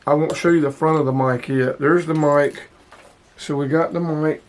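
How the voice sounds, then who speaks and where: Higher-voiced man, casual unboxing chat. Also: fairly even intonation, moderate pace, a little hiss or hum. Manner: informal, thinking aloud while handling gear. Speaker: vlogger unboxing radio gear. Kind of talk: casual hands-on unboxing commentary.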